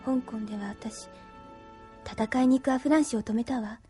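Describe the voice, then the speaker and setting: Actress doing tender devoted young anime woman, high voice, emotive and pleading, faint music under it. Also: moderate pace, mellow, natural voice. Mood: tender, wistful. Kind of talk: Japanese anime audio drama acting. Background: background music.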